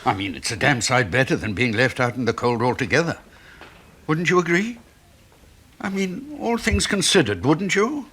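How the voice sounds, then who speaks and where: An actor playing a timid, henpecked older British husband in a 1970s radio drama, speaking in a hesitant, flustered, pleading way. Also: male voice, medium pitch, expressive, moderate pace, sharp tone, husky.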